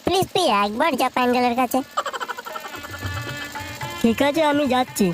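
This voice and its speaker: A woman's voice: sits high, animated, normal speed. A woman voicing a scheming young village guy in a comedy cartoon, with slangy, whining, argumentative delivery. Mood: nervous, grumbling. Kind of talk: exaggerated comedy cartoon dialogue. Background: music.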